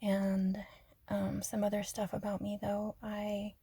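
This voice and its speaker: A woman from Arizona chatting softly and rambling in a half-whispered ASMR style, joking about herself. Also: medium pitch, monotone, takes it slowly, vocal fry, slightly rough, dry recording. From Arizona, USA. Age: adult. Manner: soft, half-whispered, self-deprecating, giggly. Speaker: ASMR creator. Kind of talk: soft-spoken rambling ASMR chat.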